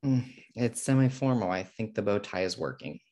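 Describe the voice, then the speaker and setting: A man's voice: lowish voice, expressive, moderate pace, a little mellow. A man playing a smart, fussy, neat-freak twin brother with a dry, prim manner in a comedy table read. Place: home room, video call.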